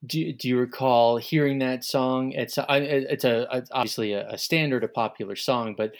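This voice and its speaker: A man's voice: mid-range voice, steady tone, moderate pace. A man conducting a conversational interview, feeling his way through questions and rephrasing them as he goes. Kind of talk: conversational oral history interviewing.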